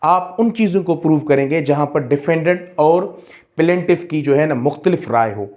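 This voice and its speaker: A man teaching a law exam-prep lesson, explaining step by step and repeating key terms, slowing into careful English when he reads legal text aloud. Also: fairly high pitch, expressive, a little mellow. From Pakistan. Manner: switches into slow, deliberate English when reading legal text. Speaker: law lecturer.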